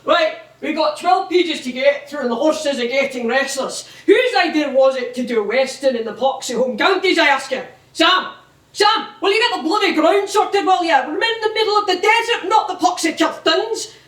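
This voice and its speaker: A brash, foul-mouthed man running a film crew , acted out by a woman, barking exasperated orders in a high voice. It is theatrical stage acting, heard echoing from far off. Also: animated, normal speed, fairly bright tone. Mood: exasperated, bossy.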